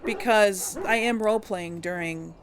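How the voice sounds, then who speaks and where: A woman's voice: mid-range voice, a little slow, music in the background. A woman hosting a casual role-play game playthrough, thinking aloud in a rambling way. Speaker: let's play host.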